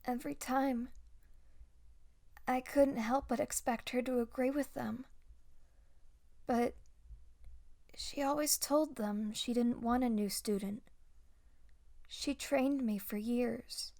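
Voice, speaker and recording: Woman playing a high-voiced, timid young Jedi fugitive. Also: fairly even intonation. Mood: sad, self-doubting. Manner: soft, hesitant, halting delivery with pauses. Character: timid, grieving young female Jedi Padawan fugitive, self-doubting and gentle. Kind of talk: audio roleplay acting, intimate ASMR-style monologue.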